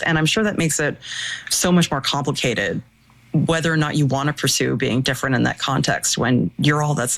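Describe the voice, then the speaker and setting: A man's voice: on the high side, a bit bright, rough recording. A man interviewing in a conversational, thoughtful way, laying out analytical points and checking them as questions.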